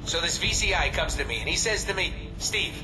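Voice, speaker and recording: A man's voice: fairly high pitch, lively intonation, a little fast, far from the mic, over music, lightly acted, rough recording. A man voicing an unhinged, gleefully violent video game character who tells stories in a chummy, theatrical way. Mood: chummy, sly. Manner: folksy, theatrical storytelling, mimics others.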